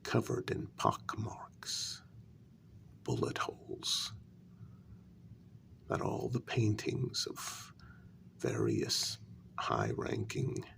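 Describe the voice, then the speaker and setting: A man playing a wounded, quietly menacing human who confronts a vampire queen in a soft-spoken ASMR role-play, with a breathy, gravelly half-whisper and deliberate pauses. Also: on the low side, sing-song, exaggerated voice. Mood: grave, confiding. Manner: slow, deliberate, pausing between phrases.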